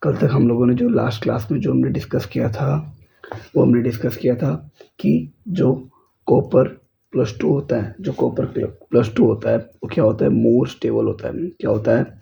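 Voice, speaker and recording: An Indian-accented man gives an exam lesson in a very dark, relaxed voice. His throat is sore, so it comes out husky and creaky. He repeats key phrases and asks short checking questions as he goes. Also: medium pitch. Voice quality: husky, gravelly. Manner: repetitive, checks understanding often, spells out words slowly. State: sore throat, frequent throat clearing. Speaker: chemistry teacher.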